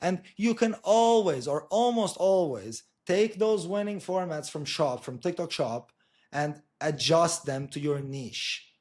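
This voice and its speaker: A man's voice: a little high, animated, measured pace, a little mellow. A man from Portugal gives an informal explainer in a blunt, emphatic, sweary way, often checking in with short tag questions. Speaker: marketing agency co-founder presenting.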